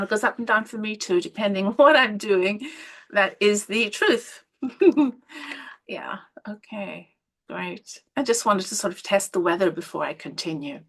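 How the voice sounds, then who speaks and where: Gentle Irish woman hosting a webinar. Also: mid-range voice, expressive, unhurried. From Ireland. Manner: gentle, encouraging, drops to soft asides. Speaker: webinar host and women's coach. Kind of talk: live webinar talk to participants.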